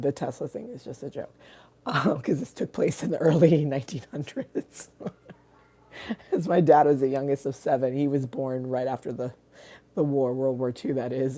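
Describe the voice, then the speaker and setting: A woman's voice: extremely low pitch, warm tone. A woman who is an artist hosting a casual livestream, rambling candidly and swearing freely.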